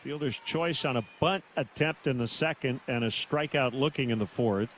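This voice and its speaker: A man doing live baseball play-by-play on the radio in measured, terse calls, with pauses between them. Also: mid-range voice, fairly bright tone, a little hiss or hum, rough recording. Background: outdoor ballpark ambience.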